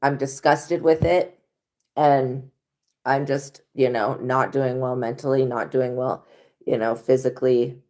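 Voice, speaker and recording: A woman with an unusually deep, hoarse voice, rough from having lost it. She talks bluntly and swears freely in a loose, unscripted live-stream monologue, speaking close to a home mic. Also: steady tone, unhurried, darkish tone. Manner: blunt, profane venting. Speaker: tarot reader hosting a live stream.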